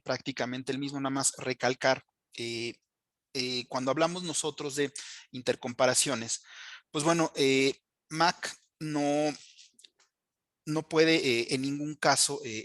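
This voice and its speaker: A man giving a technical training presentation as an instructor, speaking haltingly with frequent fillers and check-in tags. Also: mid-range voice, a bit bright, no room sound.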